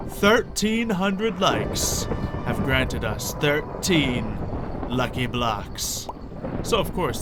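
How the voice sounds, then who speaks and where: A man hosting a gameplay video with playful, chatty, theatrical commentary that often interrupts itself. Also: mid-range voice. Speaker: gaming video host. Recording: music behind the voice. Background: music.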